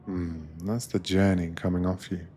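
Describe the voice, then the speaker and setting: A man narrating a soothing ASMR guided meditation as an attentive sleeper train attendant, gentle and unhurried. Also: deep voice, level tone.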